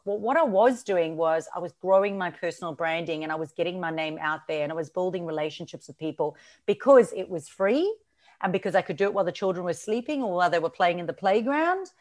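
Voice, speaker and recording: A woman from South Africa with a mixed South African and Australian accent, chatting as a podcast guest with frequent ums and laughs. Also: fairly low pitch. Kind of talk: casual interview chat.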